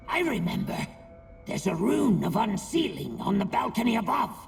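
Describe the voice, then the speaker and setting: Small loyal daedric monster for a game: heavily creaking, swooping voice, excitable, heard in a long hall. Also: mid-range voice, measured pace, heavily acted. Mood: remembering. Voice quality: gravelly creaking voice. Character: Arox, small feral daedric creature with fierce loyalty. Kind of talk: video game character acting. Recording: distant, echoing hall, music behind.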